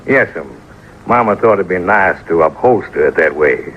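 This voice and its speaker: A man's voice: low voice, expressive, normal speed. A male radio actor playing a rich, naive Texan oilman with a folksy drawl and rustic grammar. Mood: bashful, proud. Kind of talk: old-time radio acting. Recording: muffled, noisy old radio recording.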